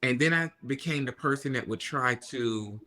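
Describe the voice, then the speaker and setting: A man talking as a podcast guest in a casual chat, telling lively stories and acting out what other people said. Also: mid-range voice, normal speed. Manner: animated storyteller, acts out quoted people.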